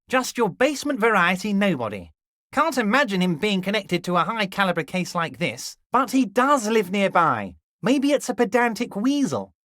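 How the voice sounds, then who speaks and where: An actor playing a smooth-talking, manipulative middle-aged union boss who speaks in a sing-song, falsely friendly way and drops low whenever he says his listener's name. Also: a bit bright, no echo, studio quality. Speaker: game character. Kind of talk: video game voice acting.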